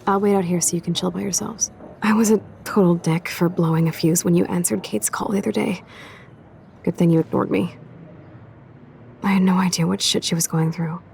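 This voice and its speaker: A woman playing a brash, loyal, rebellious teenage girl in a video game, blunt and slangy with a gravelly voice. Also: lowish voice, fairly even intonation, fairly quick, darkish tone, a little hiss or hum. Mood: regretful. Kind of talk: naturalistic video game acting.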